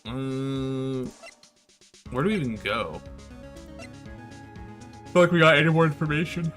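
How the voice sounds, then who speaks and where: A man with a sharp, bright voice talks casually as he streams a game. His talk is slangy and peppered with swearing as he addresses his viewers. Faint game music plays behind him. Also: medium pitch, expressive, a little slow. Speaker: live streamer. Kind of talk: casual gaming livestream chat and commentary.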